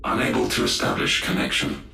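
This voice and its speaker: Very deep, gravelly machine announcer. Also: male voice, a little fast, echoey room, background noise, exaggerated voice. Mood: cold, impassive. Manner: impersonal, formal, clipped announcements. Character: cold ancient machine defence system, male-voiced, emotionless. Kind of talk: video game system announcements.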